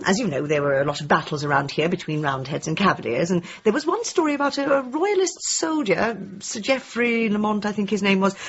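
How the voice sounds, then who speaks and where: An actress playing a gentle, slightly hesitant village woman, polite and homely, in a radio comedy drama. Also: mid-range voice, breathy edge, highs cut off, heavily acted.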